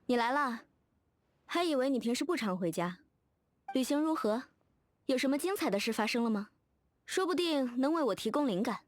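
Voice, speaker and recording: A woman's voice: high-pitched, lively intonation, brisk, pauses often. A woman voicing a confident, playfully competitive young fashion designer in a video game, direct and teasing. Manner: direct, self-assured, teasing. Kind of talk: scripted video game character dialogue.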